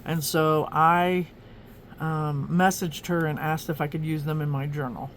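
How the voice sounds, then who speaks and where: A woman's voice: deep voice, steady tone, unhurried, slight background noise. Chatty woman from Oklahoma, rambling narration. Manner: chatty and rambling, many ums and restarts. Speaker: journaling vlogger. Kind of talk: casual narration flipping through a journal.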